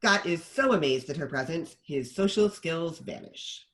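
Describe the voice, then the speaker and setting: A man's voice: on the high side, expressive, moderate pace, a bit bright. A man narrating the stage directions of a screenplay at a table read.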